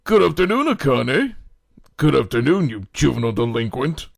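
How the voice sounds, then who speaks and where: Stern male teacher, acted by a man, creaky mid-pitched voice, sardonic and formal, anime audio play, dry sound. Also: sing-song, moderate pace, no room sound. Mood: stern, curt. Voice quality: gravelly. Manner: formal, cutting. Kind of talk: amateur anime audio drama acting.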